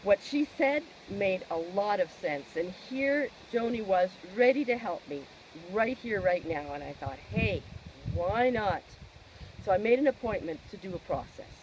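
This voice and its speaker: A woman giving a personal testimonial in an expressive, emphatic way, posing questions and answering them herself. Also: medium pitch, measured pace, highs cut off, slight background noise.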